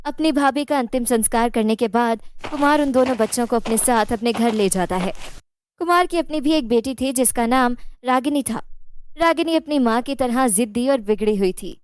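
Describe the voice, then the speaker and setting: Woman, high-pitched, scripted storytelling, children's moral tale, dry recording. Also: moderate pace, clean tone, dry recording.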